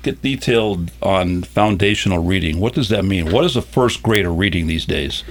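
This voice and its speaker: A man's voice: sits low, lively intonation, moderate pace, faint music behind. An older man interviewing a guest, asking conversational questions with chatty, self-deprecating asides. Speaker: interviewer, local show host.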